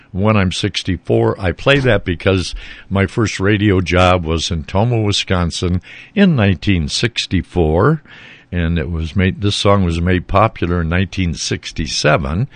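A man's voice: low-pitched, dry recording. A man in his seventies hosting a small-town radio show, chatting loosely with many fillers, in the studio with a band. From United States. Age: 70s. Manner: rambling, many fillers, chuckling. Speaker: radio host. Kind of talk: casual radio chat.

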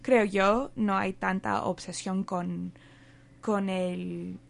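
A woman chatting in a relaxed group conversation, unhurried and lightly humorous. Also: mid-range voice, gravelly. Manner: slow, with playful put-on quotes. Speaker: panelist. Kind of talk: casual panel chat.

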